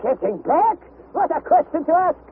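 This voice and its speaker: A jovial, pompous older professor in an old radio play. His high voice swoops with hearty exclamations and stubborn confidence. The sound is thin and phone-like. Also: sing-song, moderate pace, exaggerated voice. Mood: confident. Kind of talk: vintage radio drama acting. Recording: thin, faintly noisy vintage radio recording.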